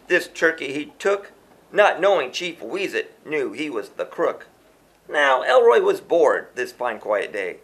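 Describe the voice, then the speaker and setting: Male storyteller for rhyming kids' book: very lively intonation, bright and punchy tone, emphatic sing-song rhythm. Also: fairly high pitch. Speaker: children's book author reading their own book. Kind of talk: rhyming children's story narration, read aloud.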